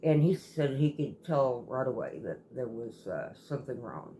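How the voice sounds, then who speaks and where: Halting woman storyteller, noisy recording. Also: extremely low pitch, expressive, warm tone. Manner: halting, rambling, often circling back and repeating. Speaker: storytelling host. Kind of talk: casual storytelling, retelling a viewer's account.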